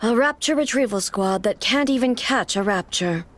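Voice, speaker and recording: A woman's voice: on the high side, lively intonation, normal speed, no room sound, natural voice. A woman playing a wry, unflappable female operative in a game, with dry composure. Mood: wry, resigned. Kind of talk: dubbed game cutscene voice acting.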